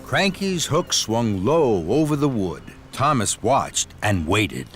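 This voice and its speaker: Slow, lively male children's storyteller. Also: mid-range voice, faint music behind. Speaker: storyteller narrator. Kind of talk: scripted children's story narration.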